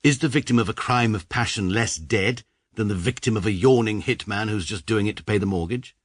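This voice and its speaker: Male actor: pompous middle-aged barrister, very low husky tone, dry close sound, sarcastic and measured. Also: dry recording, close to an everyday voice. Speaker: barrister narrating his own story. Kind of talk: radio comedy drama narration.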